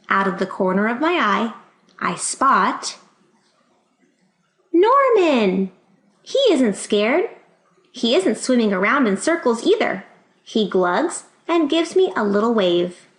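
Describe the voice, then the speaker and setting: A woman reading a children's picture book aloud in an expressive way, acting out the dialogue. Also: medium pitch. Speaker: storybook reader. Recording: roomy, echoing sound.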